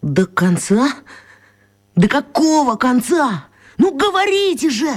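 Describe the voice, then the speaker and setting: An actress playing the indignant, emotional victim of a botched spell in a children's radio play, speaking dramatically. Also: female voice, high voice, big pitch swings, takes it slowly, full character voice.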